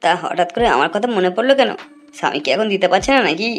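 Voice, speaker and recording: A woman acts out a sly, greedy male swindler in a cartoon. The delivery is quick, colloquial and taunting. Music sits behind the words. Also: medium pitch, lively intonation, natural voice. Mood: teasing. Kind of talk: amateur cartoon voice acting. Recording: music under the voice.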